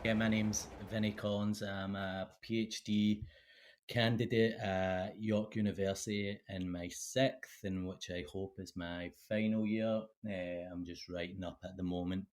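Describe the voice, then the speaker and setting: Low-voiced Scottish man, halting speech. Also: level tone. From Scotland. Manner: halting, many fillers and restarts. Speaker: guest, PhD candidate. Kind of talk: casual interview talk.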